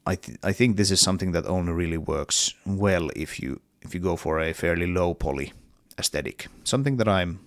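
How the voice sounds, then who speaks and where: A man's voice: low voice, a little fast, few pauses, dry recording, studio quality. A man with a cold and a partly lost voice, talking casually through his game level in a hesitant devlog with many fillers. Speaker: indie game developer.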